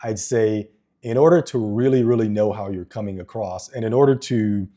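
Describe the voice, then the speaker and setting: Deep-voiced man, earnest vlog chatter. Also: moderate pace, dark tone. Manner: earnest, many ums and restarts. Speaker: YouTuber talking to camera. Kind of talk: casual vlog monologue. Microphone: wireless microphone.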